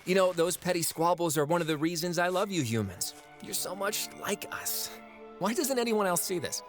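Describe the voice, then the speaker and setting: A man's voice: high voice, animated, moderate pace, soft background music. A man acting in an audio drama as a flippant, sardonic minor god who banters casually and wheedles. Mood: breezy, sardonic. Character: flippant, fast-talking minor trickster god, lately a frog.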